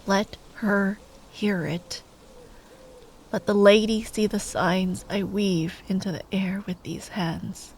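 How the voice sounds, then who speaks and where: A woman playing a grieving, tender young sorceress at a bedside in an intimate ASMR roleplay, speaking in a hushed, halting way that often slips into whispers. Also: mid-range voice, steady tone, slight background noise, low-quality recording. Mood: solemn incantation.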